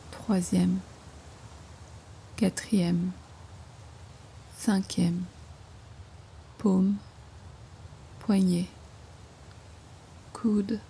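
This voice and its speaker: Very slow, mellow woman guiding meditation. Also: on the low side, creaky, husky. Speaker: yoga nidra guide. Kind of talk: guided meditation, slow soothing instruction.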